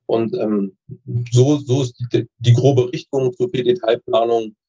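A man with a relaxed, dark voice talks as an interview guest over a dull, lo-fi recording. He is informal and matter-of-fact, and his phrasing comes in halting pieces. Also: medium pitch, slightly rough. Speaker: interview guest, dentist with orthodontic practice. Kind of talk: casual chat interview. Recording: dull, muffled lo-fi connection.

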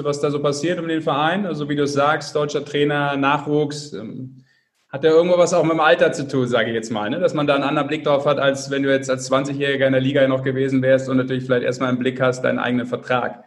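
A man's voice: medium pitch, steady tone, fast. A man hosting a podcast, chatting casually and asking questions in a loose, informal way. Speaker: podcast host. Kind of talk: casual interview chat.

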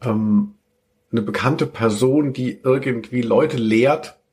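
A man's voice: mid-range voice, takes it slowly, darkish tone. A man chatting casually and humorously as a podcast host, with wry, joking asides. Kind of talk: casual humorous podcast chat about audio dramas.

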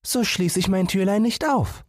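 A man's voice: high-pitched, moderate pace, dry recording, clean studio sound, voice pushed up. A man voicing a caring, anxious young girl in a fairy tale, tender and admonishing.

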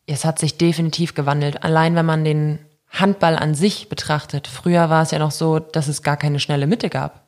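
A woman's voice: low voice, level tone, moderate pace, hardly any pauses, darkish tone. A woman speaking candidly and informally as an interview guest, giving casual answers and anecdotes, having grown up with German and Russian. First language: mixed German and Russian at home. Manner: candid, informal, laughs readily. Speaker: interview guest, professional handball player.

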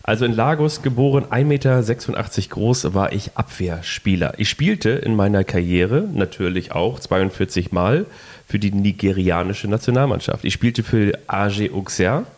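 A man with a somewhat low voice co-hosts a relaxed football podcast. He talks in a playful, joking way, reading out quiz clues in the first person and slipping in puns. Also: moderate pace. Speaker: podcast co-host. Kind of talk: casual football podcast chat.

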